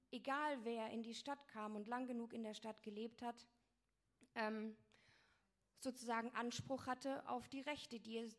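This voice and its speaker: A young woman presents a talk with slides, explaining her points step by step. Her voice sits a little high, and she keeps talking fluently while dropping in fillers and restarting words. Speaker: speaker giving a talk.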